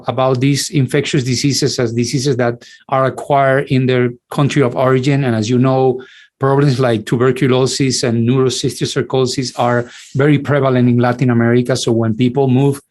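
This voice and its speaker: A man's voice: mid-range voice, a little mellow. Guy, doctor giving a talk online, stumbles and repeats words a lot, middle-aged. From Cuba. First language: Spanish. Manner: halting, many restarts and repeated words. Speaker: infectious disease physician, lecturer. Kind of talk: online lecture.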